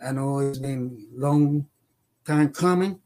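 A man in his sixties with a Puerto Rican accent, a native Spanish speaker, telling his life story haltingly as a podcast guest. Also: mid-range voice, a little mellow. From Puerto Rico. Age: 60s. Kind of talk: personal life-story interview.

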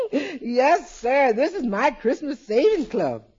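A woman's voice: sits high, big pitch swings, a little mellow, breathy edge, exaggerated voice. An actress playing a cheerful, good-humored housekeeper who savors her words in a 1950s radio comedy. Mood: delighted. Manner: unhurried, savoring words, chuckling. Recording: dull old radio recording.

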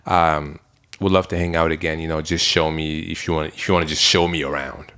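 A man's voice: rumbling low, fairly quick, clear, resonant tone. A young man from Belgium, co-hosting a casual podcast chat and telling stories with acted-out dialogue. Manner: animated storyteller, acts out other people's lines. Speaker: podcast co-host.

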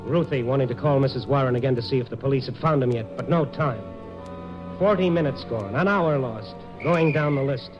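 An actor playing an urgent, world-weary American newspaper reporter in clipped 1950s radio drama style. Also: male voice, mid-range voice, brisk, natural voice. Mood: tense, weary. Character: hard-boiled Chicago newspaper reporter, urgent and impatient. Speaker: lead actor and narrator. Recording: muffled old radio broadcast, music behind.

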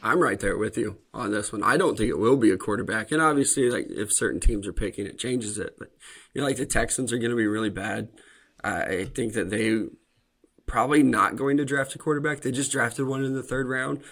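A man's voice: medium pitch, fairly quick, a bit bright, breathy edge. A man co-hosting a podcast, chatting casually about football and hedging with fillers. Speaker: podcast co-host. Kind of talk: casual sports chat.

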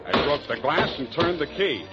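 An actor playing a wry, tough private detective, delivering deadpan hardboiled lines as the lead of a 1940s radio drama. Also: male voice, on the high side, moderate pace. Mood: wry narration. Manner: deadpan, wisecracking. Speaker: lead and narrator. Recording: muffled old radio recording with music.

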